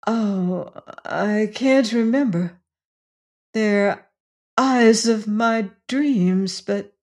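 A woman voicing a frail, wistful young woman of eighteen in a storybook, halting, whispery and tearful. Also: medium pitch, a little mellow, lightly acted. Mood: dazed, wistful.

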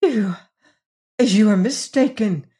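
A woman's voice: on the low side, steady tone, moderate pace, lightly acted. A woman voicing a haughty, frivolous and frightened society lady in an audiobook story, with a stammering, pleading, indignant manner. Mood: flustered denial.

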